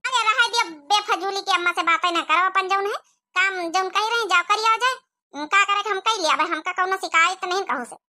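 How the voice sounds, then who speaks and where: An older village mother-in-law from a cartoon comedy, played by a woman, speaking with an Awadhi accent. The voice is extremely high and very sharp. She is gossipy and folksy, quick to scold but keen to keep the peace. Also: full character voice. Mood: exasperated.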